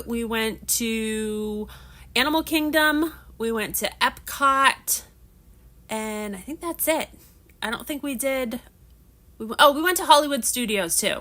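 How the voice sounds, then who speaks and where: A woman's voice: on the high side, pushed and bright. A woman in her thirties, chatting casually on a livestream while she preps crafts, a little nasal from allergies, rambling with frequent restarts. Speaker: livestream host.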